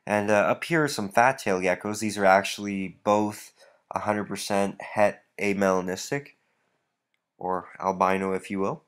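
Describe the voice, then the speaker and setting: A man with a somewhat low voice talks through a stuffy nose. He rambles casually as he walks through his breeding rack, filling pauses with lots of "uh" and "um.". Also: smooth. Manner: relaxed, rambling, many fillers. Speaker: reptile breeder. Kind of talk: casual walkthrough vlog.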